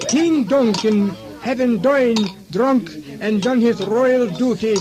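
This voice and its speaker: A man's voice: extremely high pitch, slow pace, noisy background. A man narrating a comic radio drama in a declamatory way. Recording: crowded clatter of dishes behind voice.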